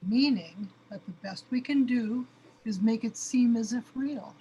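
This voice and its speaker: A woman playing a calm, philosophical imaginary companion in a radio play, with a husky voice. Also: on the high side, a little slow, muffled recording, slight background noise, close to an everyday voice. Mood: calm, reassuring. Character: Crystal, imaginary female companion, calmly philosophical. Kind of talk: amateur radio play acting.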